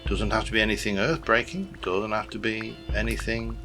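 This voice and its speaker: A man's voice: on the low side, steady tone, moderate pace. A man from South Yorkshire with a light accent, rambling and self-deprecating through casual game commentary, full of fillers and restarts. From South Yorkshire, England. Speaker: gaming YouTuber. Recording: gameplay with background music.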